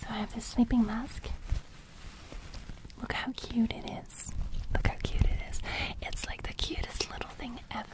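A young woman whispering a gentle ASMR roleplay very close to the microphone, breathy, softly repeating phrases. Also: on the high side, steady tone, normal speed, pauses often, rough recording. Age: young adult. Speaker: ASMR host roleplaying a sleep fairy. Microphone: close studio microphone.

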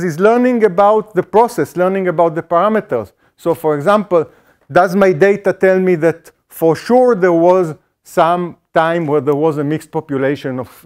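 A middle-aged man explains a statistics topic to a lecture hall, his voice sitting somewhat high for a man. He talks through ideas step by step, stumbling into fillers and restarts. He is picked up on a small mic worn on the body. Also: a little mellow, smooth. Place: lecture auditorium.

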